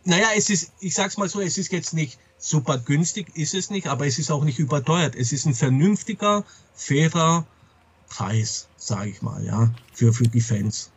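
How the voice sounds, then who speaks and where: A middle-aged man with a slightly husky voice, being interviewed and talking candidly and casually, often stopping to correct himself. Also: a little high, normal speed. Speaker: comic publisher being interviewed. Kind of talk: casual interview talk about a trade.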